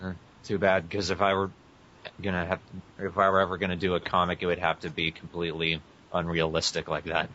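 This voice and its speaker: A man's voice: deep voice, level tone, fairly quick, bright, forceful tone, a little hiss or hum. A man co-hosting a casual podcast, chatting with the others and sometimes reading aloud. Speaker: podcast co-host. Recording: muffled lo-fi internet podcast audio.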